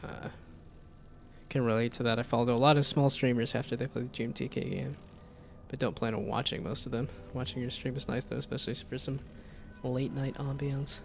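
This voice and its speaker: A young-sounding man with a slightly nasal voice and a gravelly edge chats in a relaxed, rambling way. His pitch sits in an ordinary middle range, and a faint noise runs under his voice. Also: brisk. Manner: laid-back, rambling with restarts. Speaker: streamer. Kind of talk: casual gameplay chat.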